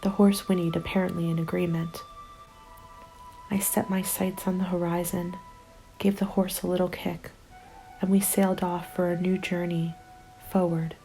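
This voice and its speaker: A woman acts out a lone, worn-out female survivor of a zombie apocalypse, telling her story as an ASMR roleplay. Her hushed voice is low and creaky, often slipping into breathy whispers. She speaks very evenly and unhurriedly, with music underneath. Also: little pitch movement, normal speed, long pauses, low-quality recording. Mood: quietly hopeful. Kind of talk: ASMR roleplay, scripted first-person narration.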